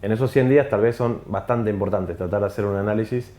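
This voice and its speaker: A man, a journalist guest on a TV panel, giving measured political analysis. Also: on the low side, warm tone. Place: TV studio. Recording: echoey studio.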